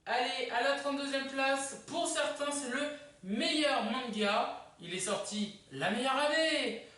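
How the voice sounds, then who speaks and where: A YouTube host casually presenting a countdown video in a chatty, halting way. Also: high-pitched, pushed and bright. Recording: echoey room, distant microphone.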